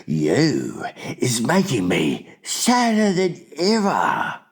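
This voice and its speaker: A performer voicing a gentle, emotional giant in a children's audiobook, with muddled childlike grammar and exclamations. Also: medium pitch, huge pitch swings, extremely slow, breathy edge, voice pushed up, heavily acted. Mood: indignant, saddened.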